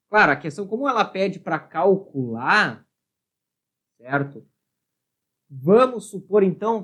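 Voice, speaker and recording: A woman with a Brazilian accent working through a physics problem as a teacher, explaining and often checking understanding with short tag questions. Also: medium pitch. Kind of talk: spoken worked-problem lesson.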